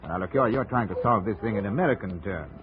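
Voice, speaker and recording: An actor as a homesick British colonial clerk in a vintage radio drama. He is genteel, fussy and effusive, with a very lively, swooping pitch. The old recording is thin and muffled. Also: fast talker, natural voice. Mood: fussy, cautioning. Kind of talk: 1950s radio drama acting.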